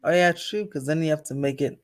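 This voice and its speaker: A man's voice: on the high side, brisk. A man hosting a casual livestream, chatting off the cuff and addressing viewers. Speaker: channel host.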